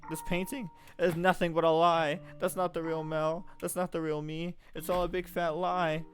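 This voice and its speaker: A man's voice: mid-range voice, normal speed, soft background music, lightly acted. A man voicing an anxious fourteen-year-old noble girl who talks in frantic, pleading questions. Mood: bitter.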